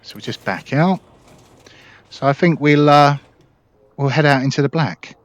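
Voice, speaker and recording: A man's voice: fairly high pitch, a little slow, lo-fi sound. A man giving casual, informal gameplay commentary, explaining things as a video host.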